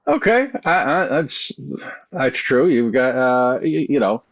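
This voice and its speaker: A man's voice: medium pitch, sing-song, slow pace. A man chatting casually as a podcast panelist, heard on slightly dull, lo-fi audio.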